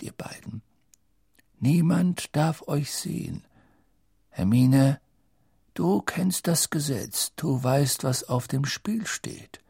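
A man reading an audiobook, voicing an old, wise wizard headmaster who speaks in a measured, grave and sometimes hushed way. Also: vocal fry, slightly rough, dry recording, studio quality, heavily acted. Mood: hushed urgent. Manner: measured, low, at times hushed.

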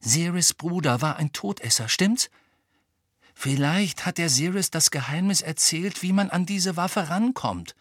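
A man's voice: on the high side, expressive, unhurried, no echo, clean studio sound, pitched above the performer's own voice. A man voicing an uneasy, hesitant teenage wizard boy in an audiobook, in a subdued, tentative manner. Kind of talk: audiobook character acting.

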